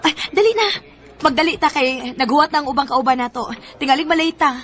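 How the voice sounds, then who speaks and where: A breezy female friend in a Filipino radio drama. She speaks high, with a Cebuano accent, coaxing and persuasive. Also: fast, fairly bright tone. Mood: brisk, urging.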